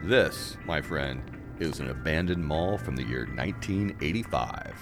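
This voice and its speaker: A man's voice: a bass voice, unhurried, big-room sound, over music. A man acting in a radio play as a genial, mischievous guide with a folksy, wry, conversational manner. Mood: matter-of-fact, wry. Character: genial, mischievous man guiding visitor into hell.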